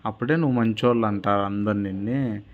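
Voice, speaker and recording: A man's voice: on the low side, brisk, narrow, tinny audio, close to an everyday voice. A man voicing a fearless old swami in a children's story, admonishing plainly and confidently with a Rayalaseema Telugu accent. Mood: stern counsel. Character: fearless old male holy man, sternly advising.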